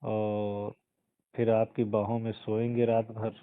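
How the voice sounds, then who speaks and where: A man's voice: on the low side, monotone, slow pace, clean tone, dry recording. A man playing a sweet-talking suitor, casual and coaxing, in an intimate phone-call conversation. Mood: flirtatious. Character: smooth-talking suitor sweet-talking his lady love. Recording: muffled phone call recording.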